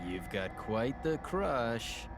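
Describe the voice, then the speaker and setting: A sly, smug male villain in a game cutscene. He drawls slowly and teasingly, his pitch sliding up and down, with music behind him. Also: big pitch swings. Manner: teasing, languid. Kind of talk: dramatic video game cutscene acting. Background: game soundtrack music.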